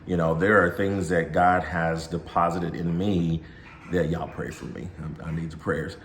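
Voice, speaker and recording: Man, co-host; very low voice, soft-spoken and affirming; echoey room. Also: fairly even intonation, moderate pace, slight background noise. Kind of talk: casual faith talk.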